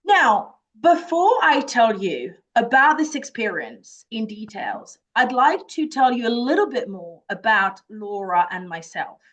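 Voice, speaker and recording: A woman's voice: a little high, very animated, unhurried, few pauses, fairly bright tone. A woman from France with a French accent, earnestly presenting a children's language summer camp from her office. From France, Jura. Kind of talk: presentation to parents.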